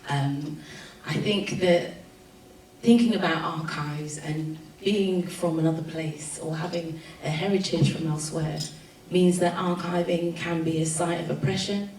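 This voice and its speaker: A woman's voice: low-pitched, steady tone, measured pace, a little mellow. A woman with a London accent speaking as a panel speaker, reflective and informal, with frequent ums and restarts. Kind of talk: spoken presentation at a panel. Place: event room at a festival panel. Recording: echoey room, distant mic, faint room noise.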